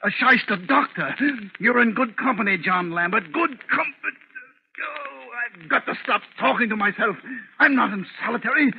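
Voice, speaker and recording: An actor playing a broken, bitter ex-convict surgeon, agitated and pleading, in 1930s radio drama style. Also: male voice, very high voice, animated, moderate pace, creaky, full character voice. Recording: scratchy, muffled, narrow-band 1930s radio.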